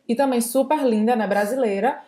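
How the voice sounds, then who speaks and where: Brazilian lady explaining french, chatty and quick, highish voice. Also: dark tone. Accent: Brazilian Portuguese. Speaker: French teacher, co-host. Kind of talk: casual language lesson.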